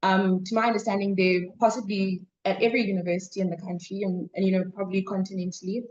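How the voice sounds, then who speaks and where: A woman's voice: medium pitch, level tone, quick pace, a little mellow. Young woman on a panel, lots of "you know", bit muffled sound. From Zimbabwe, raised in South Africa. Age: young adult. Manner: hesitant, many fillers like "you know". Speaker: student panelist. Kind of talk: panel remarks.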